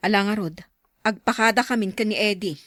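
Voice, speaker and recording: A woman's voice: mid-range voice, brisk, a bit bright, dry recording, close to an everyday voice. A woman playing an unsentimental, matter-of-fact older sister in a Filipino drama, with a Filipino accent. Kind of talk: Filipino radio-style drama acting.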